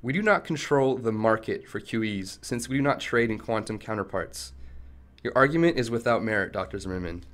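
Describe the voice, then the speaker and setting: Man acting a low-voiced, defiant captive executive. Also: animated, brisk, fairly bright tone. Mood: firm defiance. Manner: firm, argumentative, articulate. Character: defiant, principled male tech company chief held captive. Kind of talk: radio play acting.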